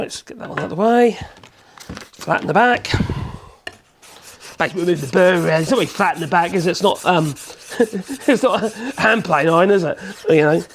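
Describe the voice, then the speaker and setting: Hoarse man, gravelly. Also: high-pitched, a little hiss or hum. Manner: rambling, chatty, self-correcting, chuckles. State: hoarse with a cold. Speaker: woodworking channel host. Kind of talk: casual workshop demonstration. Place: workshop.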